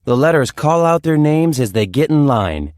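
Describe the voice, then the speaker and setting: A woman narrating a children's storybook in an expressive read-aloud style. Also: sits low, moderate pace, no echo, studio quality.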